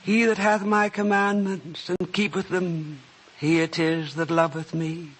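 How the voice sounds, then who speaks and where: An actor playing a solemn scripture narrator who also speaks the words of a grave Jesus, reverent and formal in a radio drama. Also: male voice, high-pitched, flat delivery, measured pace, fairly bright tone, husky, full character voice. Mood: solemn, tender. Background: prominent music.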